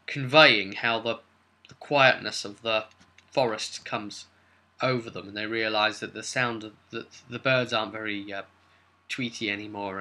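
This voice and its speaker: Sharp, forceful man recapping a game. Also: mid-range voice. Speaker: game master, vidcast host. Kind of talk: informal recap of a tabletop roleplay session.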